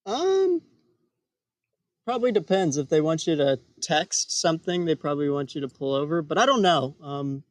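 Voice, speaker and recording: Deadpan guy chatting on a comedy podcast, bit hesitant, highish voice, no echo at all. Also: a little fast, few pauses. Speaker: podcast co-host. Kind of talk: casual comedic chat.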